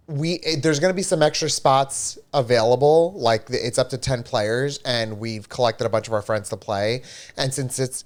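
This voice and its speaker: Chatty guy cohosting a stream, smooth clear voice, says like a lot. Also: mid-range voice. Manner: chatty, filler-heavy, upbeat. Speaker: livestream co-host. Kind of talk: casual livestream chat.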